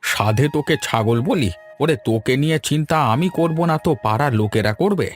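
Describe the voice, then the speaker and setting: A man voicing a grumpy old village father in a cartoon, badgering and insulting with drawn-out scolding. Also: medium pitch, animated, soft background music, close to an everyday voice. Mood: exasperated. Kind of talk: cartoon dialogue acting.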